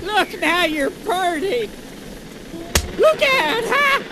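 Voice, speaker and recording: Give this one a high, swooping voice: a folksy, mock-sweet brawler who drawls out taunts. It belongs to an old radio drama style game, with loud music behind. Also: female voice, very animated, extremely slow, exaggerated voice, poor audio. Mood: gleeful gloating. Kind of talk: audio game character in old-time radio drama manner.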